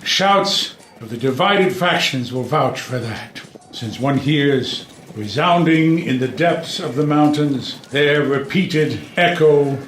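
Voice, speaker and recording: Slow, solemn old king, acted by a man. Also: medium pitch, a little hiss or hum, lightly acted. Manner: declamatory, solemn, measured. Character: grave, proud old king lamenting his ruined realm. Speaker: actor. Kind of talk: unrehearsed table read of classical verse drama. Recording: echoey room.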